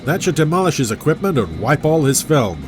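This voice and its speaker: A man voicing a brisk, commanding rescue pilot who gives crisp orders and reports in an audio story. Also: fairly high pitch, sing-song, over music, voice pushed up. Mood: confident.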